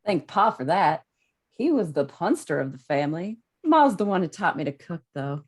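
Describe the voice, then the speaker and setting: A woman's voice: lowish voice, very animated, moderate pace, a little mellow, breathy edge. A woman playing an earnest, self-doubting male superhero in a conversational script table read. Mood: fond.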